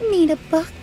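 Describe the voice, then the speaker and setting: A woman's voice: very high-pitched, a little mellow, exaggerated voice, low-quality recording. An actress voicing a flirty, menacing, unhinged female villain in a video game, with a teasing, slangy delivery. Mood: coaxing, bossy. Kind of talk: animated video game acting.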